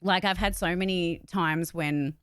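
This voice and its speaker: A woman's voice: lowish voice, fairly even intonation, a little slow, a bit bright. A woman chatting casually and candidly as a host, blunt and emphatic, swearing freely. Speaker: voice actor and channel host. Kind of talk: casual livestream chat, candid opinions.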